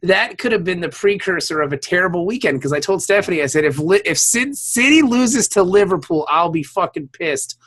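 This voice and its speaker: An American man chatting casually about sports as a podcast co-host, animated and slangy with plenty of swearing. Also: high voice, brisk, few pauses, breathy edge.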